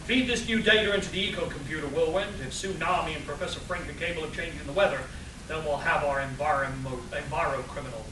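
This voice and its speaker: A man's voice: a little high, fast talker, lightly acted. An earnest, pompous eco superhero played by a man from a comic radio play. He declaims solemnly in a raised voice. The recording is distant, roomy and noisy. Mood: grave deduction. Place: convention hall stage. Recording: echoey room, distant mic, hissy.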